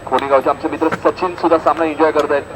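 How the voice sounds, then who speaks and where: Maharashtrian guy calling a local cricket match, highish voice, chatty, repeats himself, lots of outdoor noise. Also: fairly even intonation, racing. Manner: repeats score lines, chatty asides to listeners. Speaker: cricket commentator.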